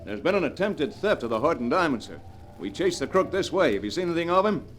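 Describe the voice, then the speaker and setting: An actor playing a businesslike estate guard in hurried pursuit, in a 1940s radio drama. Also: male voice, on the high side, sing-song. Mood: urgent. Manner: brisk, businesslike. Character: brisk estate guard chasing a thief. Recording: noisy old 1940s radio recording.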